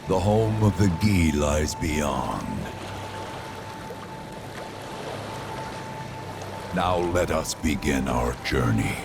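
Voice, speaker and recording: An actor playing a solemn, ancient spirit in a video game, speaking in a grave, formal, deliberate way. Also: male voice, rumbling low, animated, full character voice. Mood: solemn, courteous. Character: solemn, ancient male spirit of a lost tribe. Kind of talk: video game cutscene acting. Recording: loud music under the voice.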